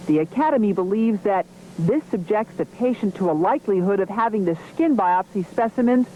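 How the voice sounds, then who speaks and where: A woman's voice: mid-range voice, expressive, brisk, dark tone, slight background noise, poor audio. A woman reporter reading scripted narration for a television news report.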